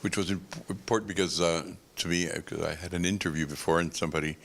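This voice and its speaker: A man's voice: sits low, steady tone, fast, vocal fry, a bit bright, slight background noise. A man speaking on a conference panel, discursive and explaining with homely analogies.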